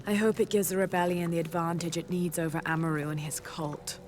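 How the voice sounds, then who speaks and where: A woman's voice: fairly low pitch, little pitch movement, a little fast, lightly acted. A young woman playing an earnest, determined adventurer in a naturalistic video game drama. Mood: focused, calm. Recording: loud music behind the voice.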